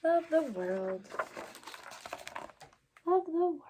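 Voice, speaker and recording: A woman's voice: high-pitched, very animated, very slow. A woman hosting a children's story time, reading aloud and talking to young listeners in a sing-song, encouraging, exaggerated way. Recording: clear background noise.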